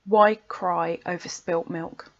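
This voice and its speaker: Woman slowly reciting poetry, dull recording. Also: on the low side, steady tone. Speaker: poet reading own poems.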